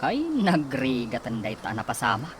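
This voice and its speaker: An actress playing a curious schoolteacher colleague who asks after a missing teacher. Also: female voice, rumbling low, huge pitch swings, normal speed, noisy background, exaggerated voice. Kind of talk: radio drama acting. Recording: music playing underneath.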